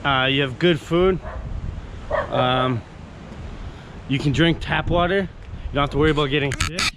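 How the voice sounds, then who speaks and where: A man's voice: a little high, normal speed, background noise. Rambling Canadian-raised man on a walk. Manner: rambling and enthusiastic, many fillers and restarts. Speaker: vlogger. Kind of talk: casual walk-and-talk vlog. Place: outdoors on a coastal town street.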